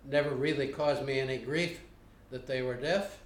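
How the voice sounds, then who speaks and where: Older man, interviewee; plainspoken and unhurried, recorded on a roomy camera mic. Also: medium pitch, steady tone. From United States. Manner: unhurried, plainspoken, frequent uh pauses. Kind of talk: unscripted recollection. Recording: roomy home video recording.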